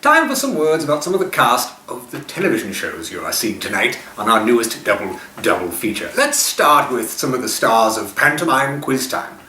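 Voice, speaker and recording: A man's voice: medium pitch, sing-song, moderate pace, hardly any pauses, sharp tone. A man hosting a retro TV show, speaking to the audience and sharing trivia about old films. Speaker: host of a retro TV and movie show. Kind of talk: hosted introduction with film trivia. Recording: echoey, noisy room, far from mic.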